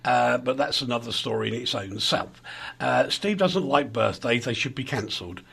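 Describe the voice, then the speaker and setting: A man's voice: medium pitch, moderate pace, gravelly, husky. A man hosting a casual chat show, teasing his co-hosts and giggling as he talks. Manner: teasing, giggly, lively banter. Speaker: podcast host.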